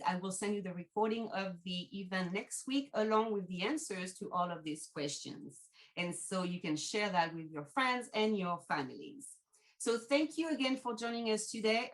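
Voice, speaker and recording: A middle-aged woman with a French accent, hosting and presenting a prepared travel video podcast. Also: medium pitch. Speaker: podcast host, travel company team manager.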